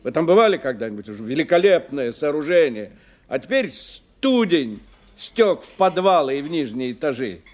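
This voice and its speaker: An actor in a radio play voicing a worldly, forthright engineer who talks expressively, with wry and probing curiosity. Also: male voice, fairly high pitch, big pitch swings, moderate pace. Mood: dramatic, grim. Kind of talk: 1990 Russian radio play dialogue.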